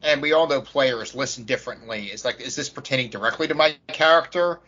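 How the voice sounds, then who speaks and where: A man's voice: mid-range voice, brisk, bright, forceful tone, highs cut off. A man in casual, opinionated chat as a podcast co-host about tabletop games, direct and emphatic when giving advice.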